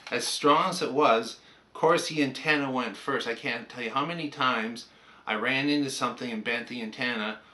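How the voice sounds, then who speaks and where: Bright-voiced older Canadian man reminiscing. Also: mid-range voice. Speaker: hobbyist presenter. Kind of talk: casual reminiscing vlog.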